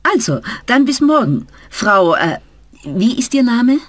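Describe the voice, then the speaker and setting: A woman's voice: a little high, big pitch swings, slow, no echo, clean studio sound, full character voice. A woman voicing a polite, businesslike travel-agency clerk answering the phone in a scripted language-course dialogue.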